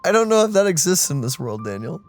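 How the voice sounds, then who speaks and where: Guy co-hosting a game stream, casual, highish voice, very animated pitch, music behind. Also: fast talker. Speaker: gameplay co-host. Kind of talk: casual let's play commentary.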